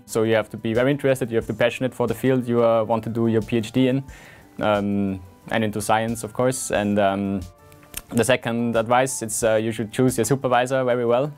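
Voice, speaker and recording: A man's voice: medium pitch, darkish tone, clean tone. A young man in his twenties from Austria, speaking English, talking earnestly with many fillers as a PhD student in an interview. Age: 20s. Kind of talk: scientist portrait interview.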